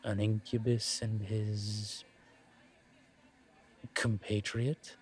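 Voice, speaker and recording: A man voicing a suave, teasing incubus professor in an intimate audio roleplay, courteous and measured, with deliberate pauses. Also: on the low side, flat delivery, breathy edge, exaggerated voice. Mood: measured, gently wry. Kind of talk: intimate one-sided audio roleplay acting.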